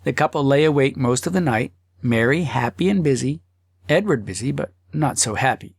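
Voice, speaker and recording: Animated male storyteller with a gravelly edge. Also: medium pitch, moderate pace, no echo, clean studio sound. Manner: theatrical, dramatizing thoughts and dialogue. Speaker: audiobook narrator. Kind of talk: expressive scripted narration of a humorous story.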